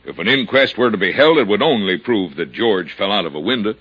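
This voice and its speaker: An actor playing a stuffy, evasive older mayor in an old radio drama, with a pompous, formal delivery. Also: male voice, fairly low pitch, lively intonation, a little fast, husky. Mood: insistent, pleading. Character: stuffy small-town mayor, solemn, evasive older man. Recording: dull lo-fi old radio recording.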